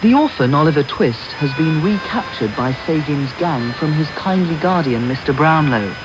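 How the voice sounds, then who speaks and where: Male narrator under loud radio noise. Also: fairly high pitch, animated, moderate pace. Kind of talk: scripted dramatic narration. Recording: very noisy medium-wave radio recording.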